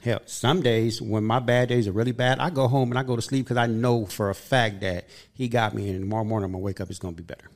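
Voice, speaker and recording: A man's voice: medium pitch, steady tone, fast, few pauses. A man in his fifties hosting a podcast, telling stories in an earnest, upbeat way during a casual chat. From Southern California. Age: 50s. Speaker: podcast host.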